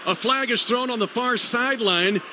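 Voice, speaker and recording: A man's voice: very high voice, normal speed, bright, forceful tone. An American man calling live football play-by-play on the radio in clipped, urgent phrases. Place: stadium broadcast booth. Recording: noisy live stadium radio broadcast.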